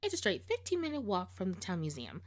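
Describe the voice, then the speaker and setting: A woman's voice: medium pitch, huge pitch swings, fast talker. A woman voicing a know-it-all cartoon girl who explains things in a blunt, matter-of-fact way. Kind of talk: cartoon voice acting.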